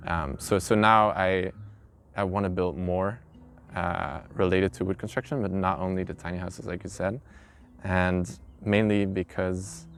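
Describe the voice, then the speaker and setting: Very low male voice, early twenties, English, informal interview, noticeable background noise. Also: fairly even intonation, unhurried, a bit bright. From Belgium. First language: not English. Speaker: interviewee, carpenter. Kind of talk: informal interview, telling own story. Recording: clear background noise, ambient sound.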